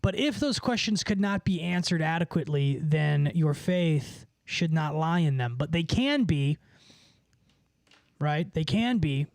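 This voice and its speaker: A man's voice: on the high side, lively intonation, measured pace, gravelly, dry recording. A man livestreaming, chatting casually with viewers in a rambling, profane, slangy way. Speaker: livestreamer and musician.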